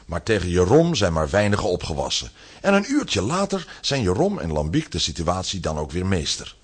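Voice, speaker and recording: A man with a lowish, husky voice tells a children's adventure story. His scripted narration swings dramatically up and down in pitch. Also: wildly swooping pitch, brisk. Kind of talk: animated scripted narration of a children's radio play.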